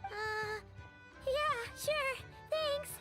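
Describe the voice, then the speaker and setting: Very high, squeaky excitable girl. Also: drawn-out words, heavily acted. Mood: puzzled. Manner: squeaky, exclamatory, flustered. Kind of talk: anime-style video game voice acting. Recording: game music underneath.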